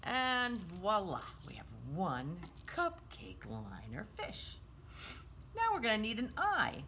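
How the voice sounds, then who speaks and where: A woman's voice: mid-range voice, huge pitch swings, slow, bright, forceful tone, slight background noise. A woman hosting a children's storytime craft, explaining each step in simple, sing-song, child-directed language.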